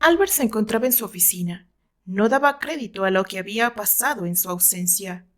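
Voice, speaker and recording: A woman with a Mexican accent reading a short story aloud as expressive, dramatic scripted narration. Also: mid-range voice, brisk.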